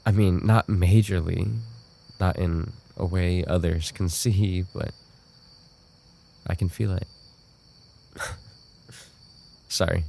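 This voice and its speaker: A man playing an obsessive, unstable yandere roommate in an audio roleplay, with an intimate, halting delivery. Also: fairly even intonation, mellow, a little hiss or hum, full character voice. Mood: wistful, tender. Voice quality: low, gravelly. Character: obsessive male yandere roommate, soft-spoken, darkly devoted, unstable. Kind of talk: boyfriend ASMR audio roleplay.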